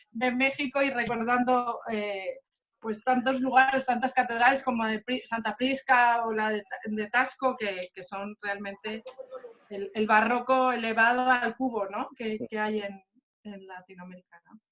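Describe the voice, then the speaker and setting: A woman's voice: a little high, steady tone, normal speed, pushed and bright. A woman on a video call who chats freely and trails off at the ends of her thoughts. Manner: chatty, trailing off. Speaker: audience member, former exhibition organizer. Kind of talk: casual chat in a video call.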